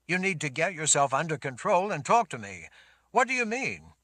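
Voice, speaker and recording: A man's voice: a little high, sing-song, moderate pace, sharp tone, dry recording, pitched above the performer's own voice. A man voicing a protective lord who speaks in a firm, insistent voice.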